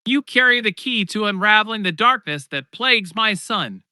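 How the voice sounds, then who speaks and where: A man's voice: sits high, lively intonation, normal speed, bright, forceful tone, clear, resonant tone, no room sound, studio quality, pitched above the performer's own voice, full character voice. A man voicing a sorrowful ghostly nun in a horror audiobook, speaking with solemn gravity.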